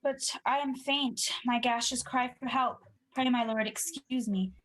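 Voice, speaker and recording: A valiant, wounded sergeant acted by a woman in a radio Shakespeare. The voice is fairly high. The report is emphatic and declamatory. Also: steady tone, measured pace, fairly bright tone, rough recording. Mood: faint, pleading. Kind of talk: 1940s radio play acting of Shakespeare.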